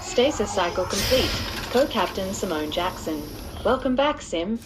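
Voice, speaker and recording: A woman's voice: mid-range voice, lively intonation, measured pace, natural voice. Woman voicing a cheeky ship computer, noisy track. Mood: formal announcing. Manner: formal announcements with dry, polite sarcasm. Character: hyper-intelligent female ship supercomputer, helpful, dryly cheeky. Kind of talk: scripted science-fiction comedy audio drama.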